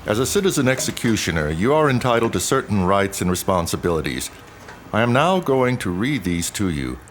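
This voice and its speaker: A man's voice: fairly low pitch, big pitch swings, fairly quick, slight background noise. A man playing a stern police lieutenant in an audio drama, speaking in a clipped, official, procedural way. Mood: formal, stern.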